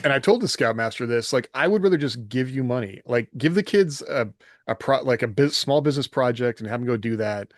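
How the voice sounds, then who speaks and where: A man's voice: mid-range voice, expressive, a little fast, no echo, studio quality. A middle-aged American man chatting casually as a podcast co-host, candid and self-deprecating. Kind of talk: casual chat.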